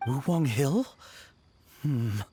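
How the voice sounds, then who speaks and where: A man's voice: medium pitch, lively intonation, extremely slow, warm tone, a little hiss or hum. A man playing a hesitant, easygoing young sceptic in a video game, speaking in a matter-of-fact way. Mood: doubtful. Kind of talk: English video game voice acting.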